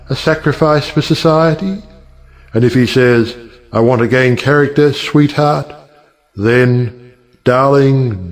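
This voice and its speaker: Man playing a sardonic, speechifying middle-aged gentleman. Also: medium pitch, lightly acted. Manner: rhetorical, sardonic, declamatory. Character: witty cynical older uncle, a judge who lectures on marriage. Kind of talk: stage play audiobook acting.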